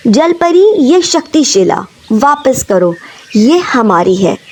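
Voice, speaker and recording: A woman's voice: a little high, very animated, slow, exaggerated voice. A woman voicing a determined, brave little female sparrow in a cartoon story, speaking earnestly. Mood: demanding. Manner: resolute, earnest.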